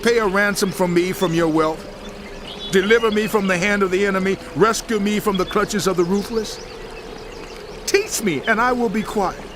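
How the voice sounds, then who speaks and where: A man's voice: high voice, moderate pace, noisy background, close to an everyday voice. An actor playing an anguished, suffering biblical man who laments and protests with passion in a dramatized scripture reading. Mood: indignant, pleading. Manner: impassioned, lamenting.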